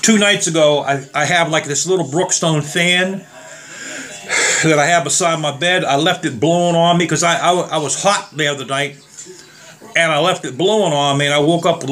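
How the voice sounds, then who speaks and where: Slightly hoarse man demoing trigger locks. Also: on the high side, a little fast, fairly bright tone. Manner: rambling, plain-spoken, addresses viewers as guys. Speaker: gun reviewer. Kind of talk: casual demo vlog.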